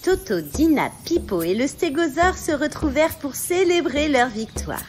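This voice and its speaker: Woman reading a kids bedtime story, highish voice, very animated, music behind her. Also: moderate pace. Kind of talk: scripted children's story narration.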